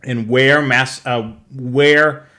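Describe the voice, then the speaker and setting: An American man co-hosting a live hobby show, chatting casually with halting, enthusiastic delivery. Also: medium pitch, steady tone, pushed and bright. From New England, United States.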